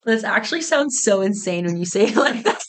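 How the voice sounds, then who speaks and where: A woman's voice: mid-range voice, lively intonation, a little fast. A woman of about thirty from Egypt, chatting informally as an interview guest and telling stories casually with frequent asides. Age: around 30. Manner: informal, peppered with "like" and quoted asides. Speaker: interview guest, business founder. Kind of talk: casual conversational storytelling.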